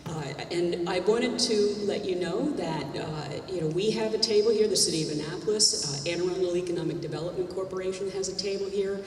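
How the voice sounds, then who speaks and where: A woman's voice: lowish voice, brisk, hardly any pauses, darkish tone, slight background noise. A woman, a city economic development manager, giving brief welcome remarks at a hotel event, casual with some "uh" fillers. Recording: echoey hall, mic from far off.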